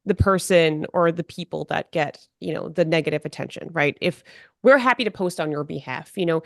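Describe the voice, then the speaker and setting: A woman on a non-profit board explaining her group's work in a podcast interview, measured and full of "you know". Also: deep voice, gravelly, no echo, studio quality.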